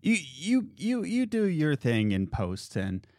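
A male podcast co-host in casual chat who shifts between a low relaxed voice and loud, high bursts when excited. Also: slow, no echo, studio quality. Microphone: studio podcast mic.

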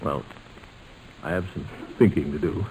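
An American actor playing a sentimental middle-aged uncle in an old-time radio sitcom, speaking haltingly and deliberately. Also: male voice, very deep voice, gravelly, darkish tone, breathy edge, full character voice. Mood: subdued, wistful. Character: sentimental middle-aged uncle and family patriarch, hesitant, tender. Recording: noisy vintage radio recording.

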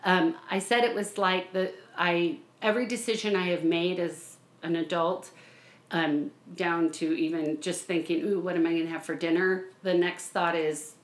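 A woman in her forties with a low, nasal voice, chatting casually and self-deprecatingly as a mother on a podcast. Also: steady tone, normal speed. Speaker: mother of the host, podcast guest. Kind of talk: casual chat. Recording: roomy echo.

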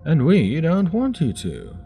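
A man voicing a calm, cruel male experimenter who speaks slowly and smugly. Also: a little high, gravelly, faint music behind, voice pushed up, exaggerated voice. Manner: slow, drawn-out, smug.

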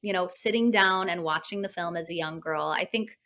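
A woman's voice: fairly low pitch, brisk, fairly bright tone. A woman who co-hosts a podcast, chatting as a guest with gushing enthusiasm. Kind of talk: casual chat.

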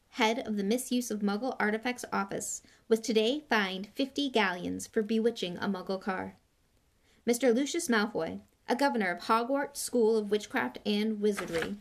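A woman's voice: mid-range voice, close to an everyday voice. A woman voicing a formal, pompous, indignant newspaper report in an audiobook, declaiming each statement. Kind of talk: audiobook read-out of a news report.

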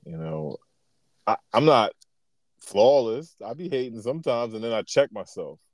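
A man with a deep voice talking as a podcast guest, a sarcastic jokester with a laid-back, slangy way of speaking. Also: big pitch swings, moderate pace, dry recording. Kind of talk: casual conversation.

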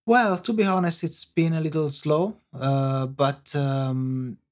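A man with an Italian accent and Italian as his first language, a guest on a podcast interview, answering in halting English as he searches for words. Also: on the high side, steady tone. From Italy. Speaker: guest composer.

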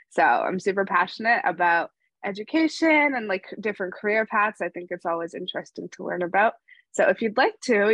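A woman's voice: mid-range voice, a bit bright, no room sound, rough recording. A young woman, a student interviewing a guest in a casual, upbeat way.

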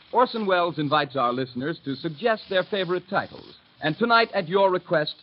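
A man announcing formally in a declamatory 1930s radio style. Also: sits high. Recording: dull lo-fi vintage radio broadcast. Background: clear music.

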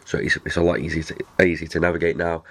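Male podcast host, very low voice, stuffy nose from a cold, rambling chatty delivery. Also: flat delivery, fast, fairly bright tone, slightly rough. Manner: rambling, chatty, many restarts. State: nasal from a cold. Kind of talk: casual chat.

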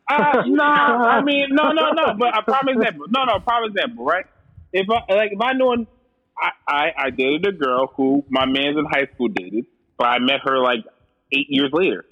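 A man's voice: sits high, normal speed. A man co-hosting a casual podcast chat, blunt and swearing, laughing often. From Haitian family. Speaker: podcast co-host. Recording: dull lo-fi sound.

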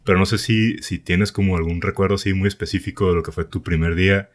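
A young Mexican man with a Mexican accent, hosting a casual podcast in a relaxed, slangy way with lots of fillers. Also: low voice, fast talker. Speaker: podcast host.

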